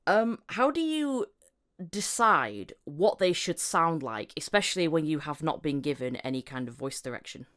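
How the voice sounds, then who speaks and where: Woman hosting a chatty podcast, lowish voice that swings around a lot, bit halting, giggly. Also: lively intonation, fairly bright tone. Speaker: podcast host and interviewer. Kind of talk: casual chat interview.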